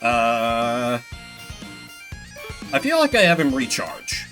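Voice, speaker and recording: A man doing casual live gameplay commentary as a streamer, thinking out loud in an analytical way. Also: mid-range voice, wildly swooping pitch, slow pace, soft background music. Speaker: game streamer.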